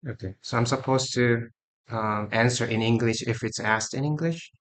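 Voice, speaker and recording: A man's voice: mid-range voice, moderate pace, a bit bright. A man in his forties from Korea, carefully introducing himself as a job candidate over a video call. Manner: careful, earnest self-introduction.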